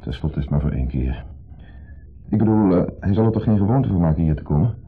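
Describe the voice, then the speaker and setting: An actor playing an insecure, jealous husband in a 1970s radio play, accusing, brooding and sardonic. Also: male voice, sits low, lively intonation, quick pace, breathy edge, soft background music, heavily acted. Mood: wary, grudging. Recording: muffled phone-like old radio recording.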